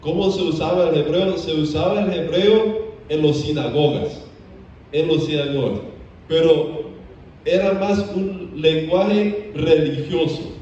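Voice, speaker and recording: A man from Belize, a theology student teaching a church seminar, often pausing on "eh". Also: on the high side, mellow, a little hiss or hum. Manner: frequent "eh" hesitations. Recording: echoey church from a distant, muffled mic.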